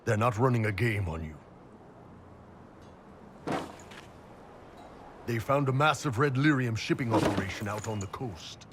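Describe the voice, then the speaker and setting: An actor playing a big gruff Qunari mercenary captain in a video game, blunt, colloquial and laid-back. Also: male voice, lowish voice, animated, fairly quick, noisy background, lightly acted. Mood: matter-of-fact. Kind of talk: video game cutscene acting.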